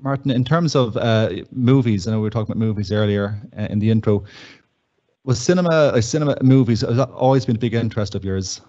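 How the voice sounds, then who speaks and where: A man's voice: mid-range voice, quick pace, mellow, lo-fi sound. A man hosting a conversational interview and putting questions to his guest. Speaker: interviewer and host.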